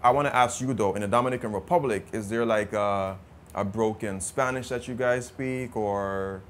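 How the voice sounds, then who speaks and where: A Jamaican man chatting casually as a travel vlogger, relaxed and talkative with some stammered restarts. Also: on the low side, normal speed, a little hiss or hum. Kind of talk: casual travel vlog chat.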